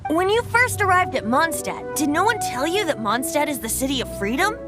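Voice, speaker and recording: A youthful boy bard from a video game, high and very melodic in intonation. He sounds playful, poetic and free-spirited, over a clear bed of music. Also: sing-song, a little fast. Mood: earnest, wistful. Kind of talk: animated video game voice acting. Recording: music behind the voice.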